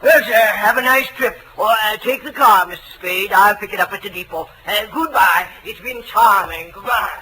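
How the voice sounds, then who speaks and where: A man's voice: sits high, normal speed, hardly any pauses, close to an everyday voice. A man playing a jovial, slick, pompous lawyer in 1940s radio style, with florid, hemming, old-fashioned speech. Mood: breezy, scheming. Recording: noisy vintage radio recording.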